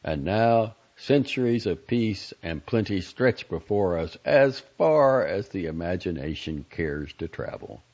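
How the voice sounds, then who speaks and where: A man acts out an ancient, courteous doctor who lectures like an orator in an audiobook tale. He is emphatic and zealous, his pitch leaping about, with some gravel in the voice and a slightly dull recording. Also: lively intonation, unhurried, hardly any pauses, a little mellow, full character voice. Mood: preaching, zealous. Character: lofty, lecturing centuries-old male doctor, zealous and courteous.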